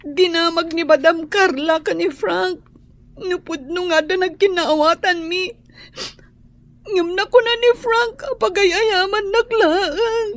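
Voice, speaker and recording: A woman playing a heartbroken young maid, crying and speaking slowly through tears. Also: very high voice, fairly even intonation, slight background noise, exaggerated voice. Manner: slow, tearful. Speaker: Angie.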